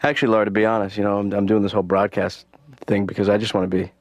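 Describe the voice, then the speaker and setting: A young man voicing an aimless grown son who wants to be a radio host, rambling and mumbling in a deadpan way. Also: lowish voice, rapid-fire, no echo, close to an everyday voice.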